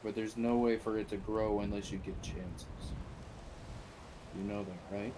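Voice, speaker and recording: A man roleplays a commanding faction leader in an online survival game. He has a lowish voice and speaks deliberately, lecturing with profanity. The amateur voice-chat recording is clearly noisy. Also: fairly even intonation, lightly acted. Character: stern male boss of a survivor faction, commanding.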